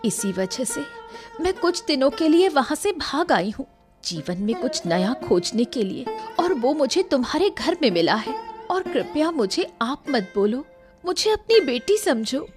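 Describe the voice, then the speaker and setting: A woman's voice: high-pitched, very animated, measured pace, over music. A woman voicing a sweet, eager young queen, affectionate and earnest, in a cartoon story. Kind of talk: Hindi cartoon moral story dialogue.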